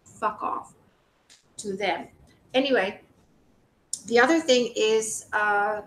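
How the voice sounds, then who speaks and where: A woman's voice: slow pace, a bit bright. A woman giving an informal, rambling spiritual monologue to the public, speaking emphatically and indignantly with some swearing. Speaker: video creator speaking to the public. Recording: roomy echo, noisy low rumble.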